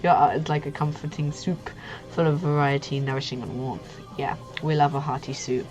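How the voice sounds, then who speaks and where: A woman's voice: deep voice, little pitch movement, normal speed, darkish tone. A young woman hosting a casual livestream, chatting in a goofy, giggly, slangy way. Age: young adult. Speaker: livestream host, artist. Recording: muffled lo-fi stream audio with music. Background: background music.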